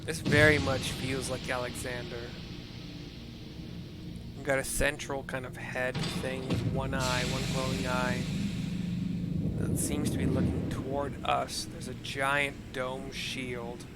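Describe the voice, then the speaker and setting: A man's voice: mid-range voice, completely flat delivery, slow pace. A man giving casual gameplay commentary, describing what is on screen in hesitant, piecemeal phrases as he searches for words. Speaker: video game streamer narrating for viewers. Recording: game music under the commentary. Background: clear game music.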